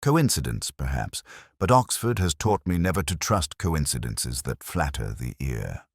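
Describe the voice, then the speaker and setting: A man with an extremely low voice narrates a scripted detective tale. His delivery is measured and brooding, with a literary turn, and his pitch moves expressively. The recording is close and dry. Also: very animated, gravelly, husky, no room sound. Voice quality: very deep. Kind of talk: scripted first-person detective story narration.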